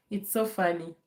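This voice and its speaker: Calm, slow-talking woman on a livestream. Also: mid-range voice, echoey room. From Kenya. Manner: calm, slow, laughs often, many fillers. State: recovering from a bad cold. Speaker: livestream host. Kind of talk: casual live Q&A chat. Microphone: phone held to camera.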